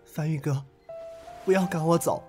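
Devoted, submissive youth, played by a man, lightish high voice, earnest radio drama delivery. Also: normal speed, a little mellow, faint music behind, natural voice. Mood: sad, tender. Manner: earnest, submissive, slightly old-fashioned wording. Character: gentle, pleading, devoted young man from another era.

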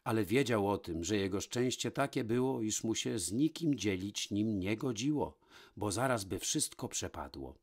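Low-voiced man reading a fairy tale. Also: lively intonation, moderate pace, a little mellow, slightly rough. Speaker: storyteller, narrator. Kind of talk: scripted fairy-tale narration, read aloud.

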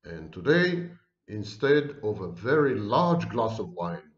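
A man's voice: lowish voice, sing-song, slow. A middle-aged man, talking to camera at home in an ironic, lecturing way. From Israel. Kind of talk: monologue to camera. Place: home room. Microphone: webcam. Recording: roomy, dull webcam sound.

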